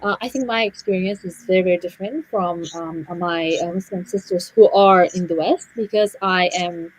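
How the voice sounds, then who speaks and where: A woman, telling her personal story as a guest speaker in a halting way, often repeating words. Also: lowish voice, rough recording. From Afghanistan and Central Asia. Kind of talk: personal story in a panel interview.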